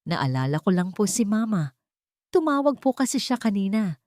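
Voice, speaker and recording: A woman's voice: mid-range voice, sing-song, normal speed, a little mellow, no room sound, studio quality, pitched above the performer's own voice, lightly acted. A Filipino-accented woman playing a scheming young daughter-in-law who feigns weary sorrow, in a narrated story. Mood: sad, pointed. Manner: sighing, pleading, weighty words.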